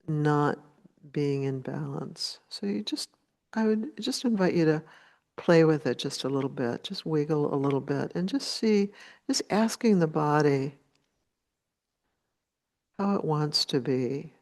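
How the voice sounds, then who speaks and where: A woman meditation teacher guides listeners at a notably slow pace. Her delivery is gentle and unhurried, with short phrases set apart by long pauses. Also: sits low.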